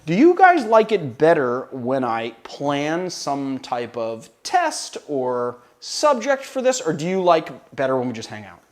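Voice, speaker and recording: Loud, resonant man chatting on a livestream. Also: fairly high pitch, huge pitch swings, a little slow, few pauses. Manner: chatty, rambling, answers viewer questions. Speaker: cocktail channel host. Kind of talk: livestream casual chat.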